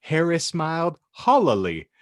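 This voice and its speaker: A man's voice: a little high, wildly swooping pitch, measured pace, no echo, clean studio sound. A man hosting a comedy podcast, narrating and bantering in a wry, mock-formal way. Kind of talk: comic audiobook narration with banter.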